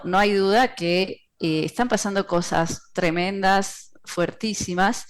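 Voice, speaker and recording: A woman from Argentina, a financial analyst speaking as an interview guest in a measured, explanatory way with many hesitations. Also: lowish voice. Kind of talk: interview conversation.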